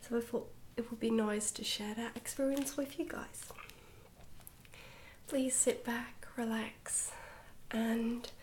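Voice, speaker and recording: A woman reading a storybook in a soft-spoken ASMR style, slipping often into a whisper, with a gentle, hushed delivery. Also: on the high side, steady tone, slow, a little hiss or hum. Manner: gentle, hushed delivery with some stumbles over words. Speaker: ASMR reader, channel host.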